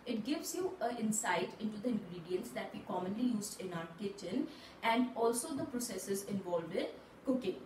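A woman's voice: medium pitch, lively intonation, moderate pace, darkish tone, a little hiss or hum, rough recording. A woman in her thirties, host of her channel, explaining a simple recipe step by step from a table in a small room. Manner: patient, step-by-step instruction. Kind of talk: cooking demonstration for parents.

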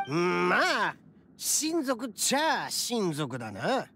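A man playing a brash, kind-hearted young oni gang boss in an anime game, with rough, swaggering speech. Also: high voice, huge pitch swings, slow, bright, forceful tone, slight background noise, full character voice. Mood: reassuring. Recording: game music behind the voice.

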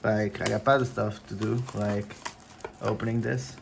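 A young man whose first language is Portuguese, chatting casually at home in his gaming room, with lots of filler words and sudden excited bursts. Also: on the low side, moderate pace, creaky. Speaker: card opening vlogger. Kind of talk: casual unboxing chat. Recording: faint crinkling and desk noise.

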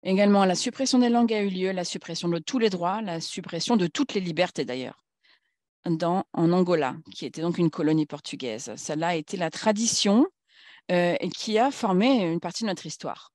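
A woman speaking at a conference in a formal political talk, halting and searching for words as she goes. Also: lowish voice, darkish tone, no echo, studio quality.

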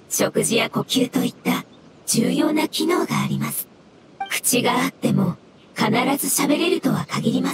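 A woman's voice: a little high, very noisy. A woman voicing a polite, earnest mushroom-like elemental creature in an anime game, speaking in a formal, literal-minded, pedantic way. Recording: loud music behind the voice.

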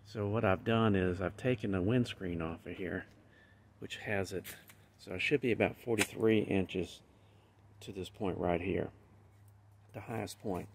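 A man's voice: sits low, a little slow, vocal fry, a little hiss or hum. An older man casually explaining as he demonstrates gear in a garage, rambling as he goes. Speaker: channel host. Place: garage with van, indoors and outdoors.

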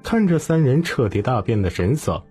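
A man narrating a historical novel as an audiobook in a dramatic, expressive storytelling manner. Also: mid-range voice, moderate pace, soft background music.

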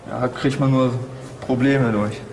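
A man in prison answers questions slowly, searching for his words as he goes. The recording is echoey, with clear noise in the background. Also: medium pitch, fairly even intonation, darkish tone. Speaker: inmate. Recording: echoey, noisy corridor.

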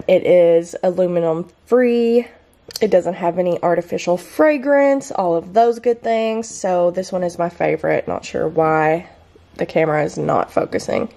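A woman's voice: fairly low pitch, measured pace, gravelly, mellow. Bubbly chatty young woman vlogging. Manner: bubbly, chatty, laughs often. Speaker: lifestyle vlogger. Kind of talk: casual grocery haul chat. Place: home kitchen, indoors.

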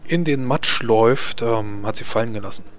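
A man's voice: mid-range voice, moderate pace. A man improvising scene narration as the game master of a tabletop game. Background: faint music.

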